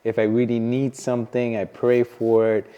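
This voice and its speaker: A man's voice: mid-range voice, completely flat delivery, normal speed, dark tone, smooth, big-room sound, highs cut off. A young man hosting a podcast, speaking frankly and swearing freely in casual chat. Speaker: podcast host.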